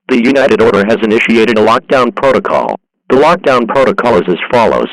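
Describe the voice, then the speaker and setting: A man playing an impersonal government emergency alert announcer, reading a scripted broadcast in a formal, deliberate way. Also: lowish voice, no room sound, natural voice, rough recording.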